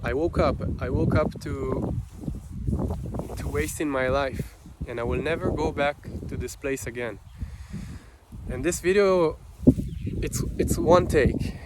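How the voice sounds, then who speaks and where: Slow, halting Israeli man, noisy recording. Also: fairly high pitch. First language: Hebrew. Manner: halting, reflective, with long pauses. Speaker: vlogger. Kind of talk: unscripted personal monologue.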